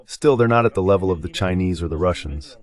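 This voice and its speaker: A man's voice: low voice, fast talker. A man as a talk show guest in a voiced-over translation, giving conversational political commentary.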